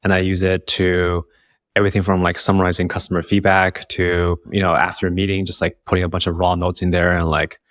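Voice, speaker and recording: A man's voice: low-pitched, completely flat delivery, a little fast, fairly bright tone, dry recording. A man hosting a podcast in a casual, conversational way, thinking aloud as he talks. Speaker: podcast host, interviewer. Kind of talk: casual chat.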